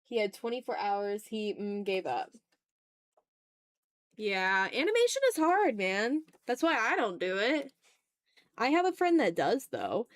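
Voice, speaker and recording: Female art streamer from the American South, mid-pitched, loose chatty delivery with playful exasperation, very dry recording. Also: very animated, unhurried, pauses often, dry recording. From Southern United States. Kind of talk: casual livestream chat while drawing.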